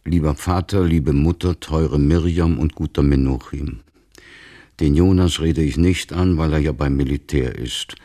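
An actor playing a sober, careful family man in a radio drama, speaking earnestly and deliberately. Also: rumbling low, a little mellow, slightly rough, no room sound, studio quality. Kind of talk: radio drama acting, measured speech.

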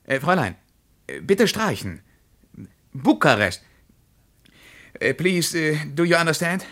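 An actor playing an insistent, easily flustered German traveller in a radio play. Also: male voice, on the high side, very animated, a little slow, a bit bright, heavily acted.

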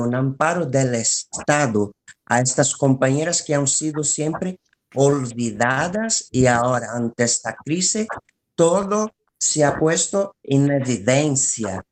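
An interview guest explaining a collective's work in halting phrases with repetitions. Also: mid-range voice. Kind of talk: spoken radio interview, explaining.

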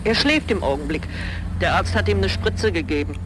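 An actress playing a guarded middle-aged aunt who answers curtly in a crime radio play. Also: female voice, lowish voice, expressive, normal speed, noisy background, lightly acted. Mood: wary, curt. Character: guarded aunt answering about her sick husband.

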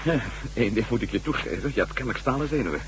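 An uneasy adventurer acted by a man in a radio drama, his pitch swinging widely as he muses and hesitates. He repeats himself as he thinks aloud. The old recording carries some background noise. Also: animated, racing, natural voice. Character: Matt, uneasy adventuring former astronaut and reporter. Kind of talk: Dutch radio drama acting.

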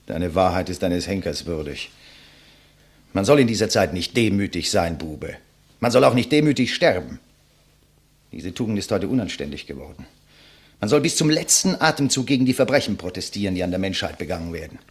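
An actor playing a proud, scornful condemned intellectual in a 1950s German radio play, declamatory and contemptuous. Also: male voice, low-pitched, animated, a little fast, slightly rough. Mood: defiant, indignant. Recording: vintage 1959 radio recording.

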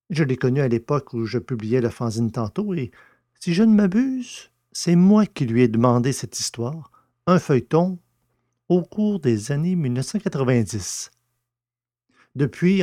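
A man's voice: mid-range voice, a little mellow. A man hosting a podcast and reading scripted audiobook narration in French.

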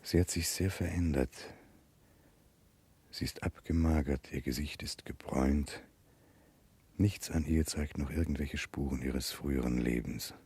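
An actor playing a grave, remorseful Russian gentleman in a German radio drama of the 1960s, speaking in a measured, earnest way. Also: a bass voice, fairly even intonation, slightly rough, heavily acted. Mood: calm, reflective.

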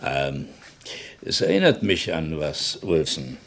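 A man playing a measured, probing police inspector in a German radio crime play, musing aloud with hums and pauses. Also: very deep voice, animated, exaggerated voice. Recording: hissy old radio play recording.

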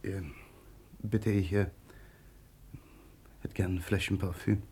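A man's voice: deep voice, steady tone, slow, noisy background. A man acting in a German radio play as a grumpy, sarcastic husband who hates shopping, with a blustering, disdainful manner. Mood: hesitant, bashful.

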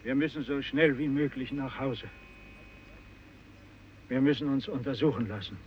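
A man acting a stern, practical fisherman who takes command in a 1950s radio play, firm and measured. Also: mid-range voice, close to an everyday voice. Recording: muffled, noisy old radio recording.

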